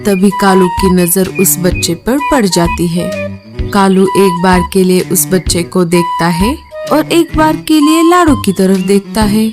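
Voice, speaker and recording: A woman's voice: mid-range voice, measured pace. Female narrator for a scripted children's tale, mid-range voice over a music bed.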